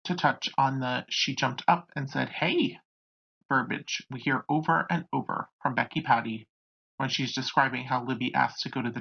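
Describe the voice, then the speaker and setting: Creaky, husky true crime narrator. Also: mid-range voice. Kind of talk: scripted narration. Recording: dull, lo-fi home recording.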